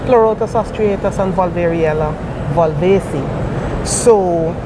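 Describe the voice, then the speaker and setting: A woman from Guyana, a PhD student, recounting her path into her field in an oral history interview with careful, measured storytelling. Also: lowish voice, heavy background noise. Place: outdoors at a conference venue.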